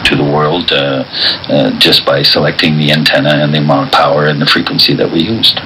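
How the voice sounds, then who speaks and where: A man giving an explanatory interview in a measured, matter-of-fact way, with some hesitations. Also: a bass voice, level tone, background noise. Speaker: radio station technical staff.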